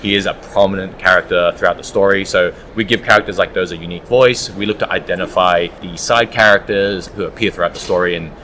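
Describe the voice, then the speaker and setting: Interview guest, male, low voice, conversational, some background room noise. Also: steady tone, fast, hardly any pauses, sharp tone. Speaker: audiobook producer, interview guest.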